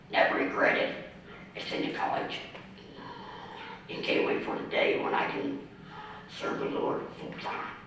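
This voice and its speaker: Man in his thirties speaking in a raspy near-whisper, slow and methodical, bright edge, faint noise under it. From Ohio, US. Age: 30s. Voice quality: raspy, mostly unvoiced whispery voice from vocal cord damage. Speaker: award speaker, ordained minister. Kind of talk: reading a prepared personal speech. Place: community center hall. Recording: echoey hall from far off, faint noise.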